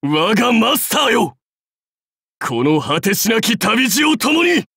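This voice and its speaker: An actor playing a proud, battle-hungry samurai warlord in a game, with grand, archaic, declamatory speech. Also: male voice, fairly low pitch, takes it slowly, no room sound, clean studio sound. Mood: fiery, exultant. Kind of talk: anime game voice lines.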